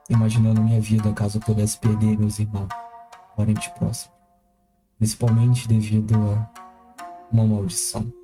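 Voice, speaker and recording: Guarded samurai voiced by a man, Brazilian accent, flat intonation, courteous, restrained, dramatic narration, faint music behind. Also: on the low side, natural voice. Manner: formal, restrained. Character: wary, solemn, courteous male outsider samurai. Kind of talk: first-person audio drama narration.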